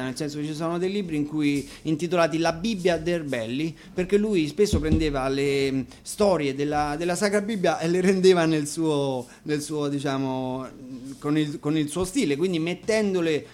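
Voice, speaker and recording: A man's voice: fairly high pitch, darkish tone, a little hiss or hum. A man casually introducing and explaining songs, colloquial and hesitant. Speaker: musician and bandleader presenting the songs.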